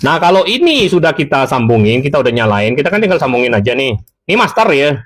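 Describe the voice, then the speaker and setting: A man's voice: medium pitch, sing-song, quick pace. A man giving a casual, chatty tutorial, talking straight to viewers and correcting himself as he goes. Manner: chatty, addresses viewers directly, self-corrects. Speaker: seller demonstrating intercoms.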